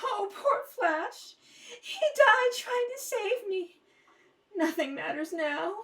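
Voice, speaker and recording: A woman's voice: very high voice, expressive, slow pace, a bit bright, distant mic, full character voice. A woman playing a distraught young heroine in a melodramatic old radio serial manner. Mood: despairing. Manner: melodramatic, breathless exclamations. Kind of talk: 1930s radio serial acting. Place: kitchen table at home.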